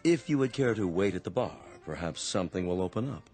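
An actor playing a deferential, formal restaurant waiter in a radio drama with music underneath. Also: male voice, sits low, lively intonation, brisk, a little mellow. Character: polite, nervous male restaurant waiter.

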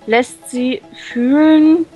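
A woman reading a visual novel aloud and translating it, with many fillers and pauses. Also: high voice, steady tone, dark tone, muffled recording, faint music behind. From Germany. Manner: hesitant, many fillers, laughs often.

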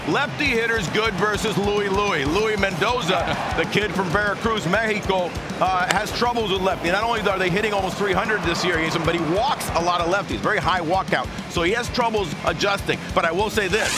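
A man's voice: high voice, normal speed. A man giving informal, playful baseball analysis in a conversational broadcast style. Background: music.

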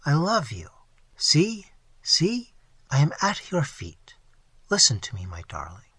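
A man's voice: on the high side, wildly swooping pitch, slow pace, a bit bright, breathy edge, no room sound, exaggerated voice. A man voicing a bewildered, lovestruck man in a melodramatic storybook reading. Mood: impassioned. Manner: melodramatic, swinging from dull to fervent. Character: bewildered, lovestruck man, given to impassioned outbursts. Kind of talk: dramatic audiobook character reading.

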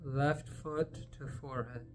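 A man leading a guided meditation in a gentle, unhurried manner, leaving long pauses between phrases. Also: mid-range voice, fairly even intonation, soft background music.

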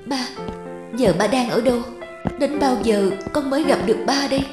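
Woman as cartoon daughter, high voice, earnest, emotional, pleading, soft music behind. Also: moderate pace, lightly acted. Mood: sad longing. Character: earnest, tender-hearted daughter longing for her lost father. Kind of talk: cartoon drama voice acting.

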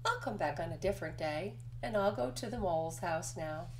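A woman voicing an earnest, polite but easily exasperated young male rabbit in an expressive picture-book read-aloud. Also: lowish voice, a little fast, a little hiss or hum, lightly acted.

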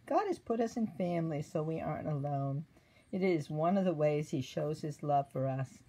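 A woman in her sixties reading a children's devotional story aloud in a gentle, teacherly way, pausing to put simple questions to young listeners. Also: sits low, expressive, breathy edge. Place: indoor room at a table.

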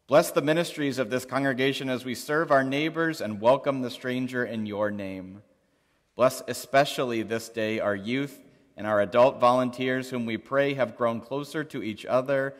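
A man leading a congregation through the creed and prayers with measured, liturgical recitation. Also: medium pitch. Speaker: worship leader. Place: large church sanctuary. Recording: long church reverb.

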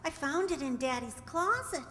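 A woman's voice: sits high, expressive, normal speed, a bit bright. A woman playing an innocent, chirpy ten-year-old farm girl in an old-time radio drama, childlike and guileless, on a hall stage. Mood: innocent, curious. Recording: echoey hall stage recording.

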